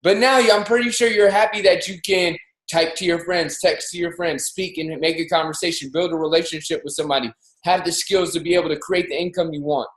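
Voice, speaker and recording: A man in his thirties giving an energetic, preachy motivational talk as co-host of a livestreamed show, pushing his voice up on key points. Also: fairly high pitch, a little fast, roomy sound, poor audio. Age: 30s. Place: home in Miami, livestreamed call. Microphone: video call.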